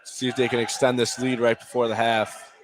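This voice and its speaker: A man's voice: medium pitch, little pitch movement, brisk. A man giving short color commentary on a radio basketball broadcast.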